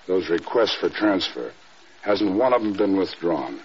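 An actor playing a loyal, middle-aged American air force adjutant, earnest and deferential, in classic radio drama. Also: male voice, sits low, big pitch swings, a little slow. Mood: hesitant, earnest. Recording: dull, hissy old radio recording.

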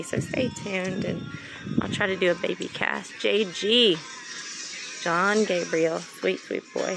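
A mom vlogging with heartfelt, rambling encouragement about faith and getting through hard times. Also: female voice, on the low side, vocal fry, rough recording. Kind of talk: motivational faith vlog talk.

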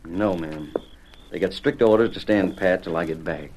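A man's voice: low-pitched, normal speed, close to an everyday voice. A man playing a courteous, decisive cowboy crew boss with folksy American western talk, in old radio drama style. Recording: noisy old radio recording.

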